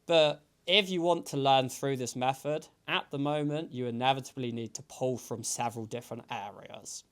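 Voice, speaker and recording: Clear-voiced man hosting an interview. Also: medium pitch, a bit bright. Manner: measured and articulate. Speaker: host, English teacher.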